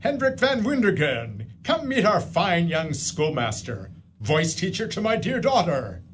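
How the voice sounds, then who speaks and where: A man's voice: high voice, sing-song, a little hiss or hum. An actor playing a genial, wealthy older landowner who welcomes guests at his feast in a community radio drama. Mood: hearty. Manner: hearty, measured, welcoming. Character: genial wealthy older male Dutch landowner, host father.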